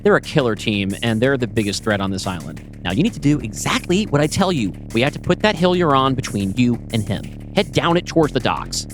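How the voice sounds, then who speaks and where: A man's voice: medium pitch, very animated, fairly quick, hardly any pauses, faint music behind, lightly acted. A man voicing a wry, bossy young woman in an audio play. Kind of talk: audio play table read.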